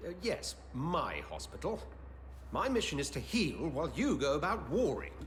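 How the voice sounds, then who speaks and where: A man's voice: on the high side, very animated, normal speed, a little hiss or hum. A man playing a genteel, earnest hospital administrator in a video game, weary, formal and theatrical. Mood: irritated, firm. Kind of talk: video game dramatic acting.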